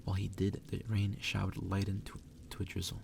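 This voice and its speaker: A man softly reading a story aloud in ASMR style, sometimes dropping toward a whisper and stumbling over the text. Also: low-pitched, monotone, fairly quick, vocal fry, distant mic, noisy background. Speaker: ASMR reader.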